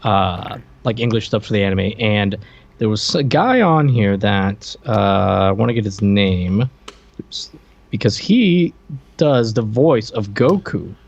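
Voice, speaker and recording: A man's voice: lowish voice, expressive, slow, vocal fry. A man co-hosting a podcast, chatting casually, on a slightly muffled recording. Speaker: podcast co-host. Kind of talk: casual chat about cartoons. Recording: slightly dull, lo-fi microphone sound.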